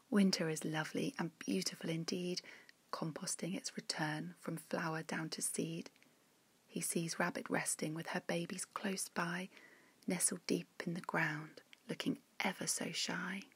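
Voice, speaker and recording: Woman narrating a rhyming kids story, slow n gentle, lowish husky voice, expressive. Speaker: author reading her own story.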